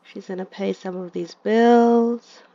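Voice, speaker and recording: A woman's voice: medium pitch, fairly even intonation, takes it slowly, gravelly, warm tone, dry recording. A woman giving chatty, casual commentary on her gameplay and talking to her game characters, in a slightly dull lo-fi recording. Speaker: gaming video creator.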